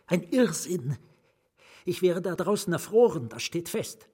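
Husky, haunted asylum patient. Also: male voice, high-pitched, expressive, unhurried, dark tone, full character voice. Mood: agitated, remembering. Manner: confiding, broken short phrases, wistful. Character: melancholy male asylum patient, a haunted intimate confider. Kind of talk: radio drama acting.

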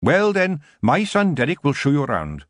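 A man voicing an elderly city witch shopkeeper in an audiobook, brisk and motherly, chatty and knowing. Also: fairly high pitch, huge pitch swings, no echo, clean studio sound, voice pushed up. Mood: satisfied, friendly. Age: older. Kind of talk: audiobook character voice.

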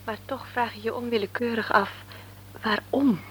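An actress playing a worried young married daughter in a radio drama, earnest and slightly anxious. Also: female voice, a little high, steady tone, normal speed, slight background noise, natural voice.

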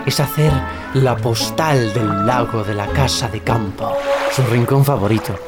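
An actor with a Castilian accent playing a grandiose, lovesick dreamer turned pirate in a scripted radio comedy. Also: male voice, mid-range voice, measured pace, lightly acted. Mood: wistful, dreamy. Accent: Castilian Spanish. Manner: theatrical, grandiose, poetic. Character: dreamy, lovesick postcard-obsessed man turned swashbuckling pirate. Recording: studio recording under loud music.

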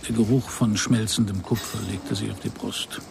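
An actor playing a wry, unruffled private detective in a radio crime drama, narrating and talking in a dry, polite way. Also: male voice, lowish voice, flat delivery, moderate pace, vocal fry, soft background music. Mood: wry, observant.

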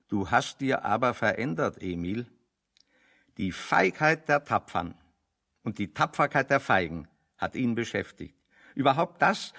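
A bookish refugee intellectual in a radio play. His mid-pitched voice is gravelly with fry as he lectures with dry irony and pedantic care. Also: a bit bright, exaggerated voice. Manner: pedantic, dryly ironic, expounding. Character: wry, bookish intellectual refugee, man, holding forth on philosophy. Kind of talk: radio play dialogue, discursive monologue.

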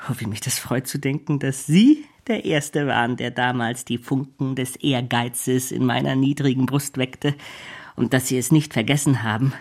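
A male actor as a scheming clerk with a creaky, husky male voice. He oozes false humility and servile gratitude, gushing obsequiously in the manner of literary radio drama. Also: medium pitch, moderate pace, natural voice. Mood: fawning gratitude.

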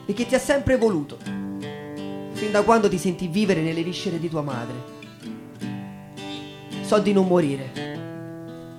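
A man with a fairly high voice reads dramatically, as a narrator on stage would. His phrasing is solemn and measured, and acoustic guitar plays clearly behind him. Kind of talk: theatrical dramatic reading over music. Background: acoustic guitar music.

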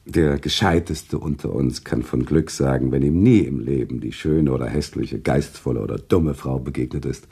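An actor playing a worldly, sentimental male storyteller in a radio drama, teasing and emotional, with dramatic pauses and expressive swells. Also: full character voice. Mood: brooding. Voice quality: husky, gravelly low voice. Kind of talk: German radio drama acting, conversational storytelling.

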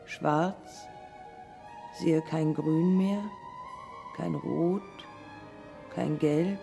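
An actress playing a defiant, haunted early woman pilot in a German radio play, speaking in terse, deliberate fragments. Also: sits low, steady tone, gravelly, dark tone, soft background music, full character voice. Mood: dark, haunted. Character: pioneer woman aviator, haunted, defiant, morphine-addicted.

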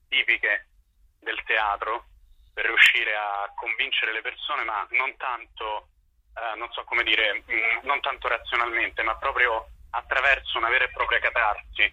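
A man's voice: on the low side, slightly rough, no room sound. A man interviewed as a playwright over a phone line, answering spontaneously and often searching for words. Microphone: telephone.

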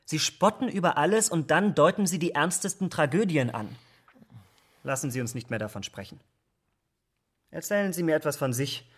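A man acting a troubled, impulsive young English gentleman in a German radio drama. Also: a little high, moderate pace, long pauses, lightly acted. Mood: distressed.

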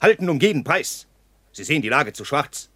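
An actor playing a brusque, commanding German army major in a 1960s radio play, speaking in clipped military manner. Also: male voice, on the high side, sing-song, brisk, pushed and bright, no room sound, exaggerated voice. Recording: dry early 1960s radio studio.

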